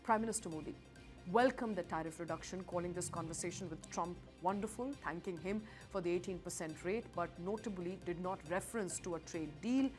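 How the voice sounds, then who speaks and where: A woman works as a news anchor, delivering a scripted explainer in an emphatic newsreader style. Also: on the low side.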